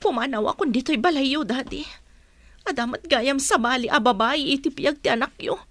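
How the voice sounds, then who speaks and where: A woman's voice: sits high, moderate pace, a bit bright. A woman acting the part of a hurt but resolute wife and mother in a radio-style drama, emotional and plaintive. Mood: tearful, defiant. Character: hurt, resolute wife and mother leaving her cheating husband.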